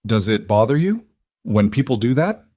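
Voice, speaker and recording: A man voicing a gentle, plainspoken, steady single dad in a narrated story. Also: medium pitch, normal speed, no echo, studio quality. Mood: gentle, curious.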